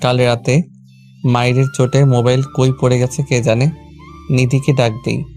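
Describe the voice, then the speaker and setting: A man with a Bangladeshi accent narrating a scripted first-person romantic story in a plain, even delivery. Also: medium pitch, normal speed, faint music behind. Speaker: narrator and lead character of a romantic audio story.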